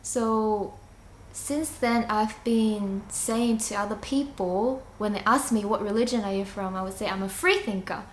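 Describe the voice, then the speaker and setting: A young woman from China and Singapore shares an unscripted personal testimony. She is reflective and halting, often pausing mid-sentence, with a medium-pitched voice. The room is noticeably echoey, with faint noise underneath. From China, moved to Singapore at nine. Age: young adult. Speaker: church member giving a testimony.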